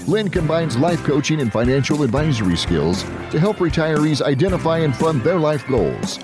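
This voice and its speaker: A man reading a scripted show introduction in an upbeat, lively way as the announcer. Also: on the high side, moderate pace. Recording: voice-over with music bed. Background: music.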